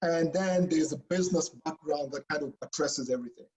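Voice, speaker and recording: A man's voice: a little high, fairly quick, darkish tone, dull audio. A man from Kenya, a professor talking casually in an online info session, with restarts and a habit of asking "okay?". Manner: chatty, frequent "okay?" and restarts.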